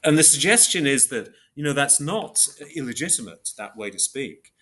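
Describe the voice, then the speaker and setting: A man giving an academic explanation in an interview, measured and hesitant, working step by step through examples. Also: mid-range voice, very animated, fairly bright tone. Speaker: podcast guest, philosopher of biology.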